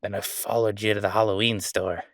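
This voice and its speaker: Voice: low voice, fairly even intonation, normal speed, pushed and bright, no room sound, studio quality. An actor playing a chatty, sardonic ghost roommate in a roleplay audio, talking in a casual, teasing, exclamatory way. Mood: wry, chatty. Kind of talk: roleplay audio acting, conversational.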